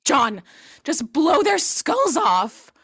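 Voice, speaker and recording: A woman playing a bossy, sharp, impatient rescue team member in a comic audio play, commanding and exasperated. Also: sits high, sing-song, very slow, no echo, clean studio sound, exaggerated voice. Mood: exasperated, urgent.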